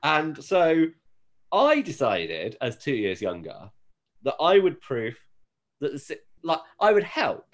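A man's voice: fairly high pitch, wildly swooping pitch, slow. A British man chatting casually as a podcast co-host. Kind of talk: casual chat.

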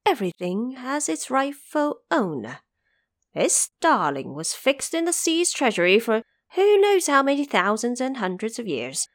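A woman's voice: fairly high pitch, very animated, measured pace, dry recording, clean studio sound, voice pushed up. A woman voicing a boastful, gleeful male Monkey King in an audio drama, proud and showy with a commanding streak. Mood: boastful, pleased. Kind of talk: audio drama character acting.

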